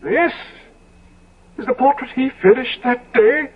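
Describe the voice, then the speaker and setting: An actor playing a decadent, world-weary, easily appalled gentleman in 1970s radio drama, with an exclamatory, declamatory delivery. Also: extremely high pitch, a little slow, breathy edge, full character voice. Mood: shocked. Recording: dull vintage radio recording.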